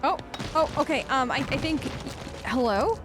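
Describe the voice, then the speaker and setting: A woman's voice: sits high, lively intonation, moderate pace, music in the background. A woman doing role-play let's play commentary, chatty and curious, often tentative as she reacts in character. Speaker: gaming streamer.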